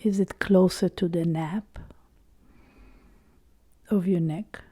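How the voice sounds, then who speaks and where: Woman doing a guided body scan, really slow and soothing, super dark mellow tone, lots of pauses. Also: fairly low pitch, fairly even intonation, slightly rough, no echo. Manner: soothing, unhurried, with long pauses between short phrases. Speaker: meditation guide.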